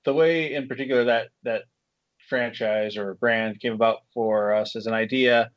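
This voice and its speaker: A man being interviewed as a guest screenwriter and director, answering in a relaxed way with frequent ums and restarts. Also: medium pitch, moderate pace, no echo, highs cut off. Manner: relaxed, many fillers and restarts.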